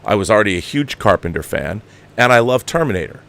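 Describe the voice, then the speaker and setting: An American man in his fifties giving impassioned, off-the-cuff film commentary as a show host, with an emphatic, halting, digressive delivery. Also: lowish voice, sing-song, vocal fry, a bit bright. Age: 50s.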